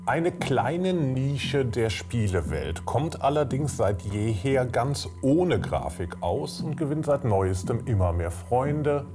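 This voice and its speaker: Ein Mann moderiert mit vorbereitetem Text ein Magazin. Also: male voice, mid-range voice, very animated, slow, few pauses. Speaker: show host. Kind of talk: scripted presenting. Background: loud music.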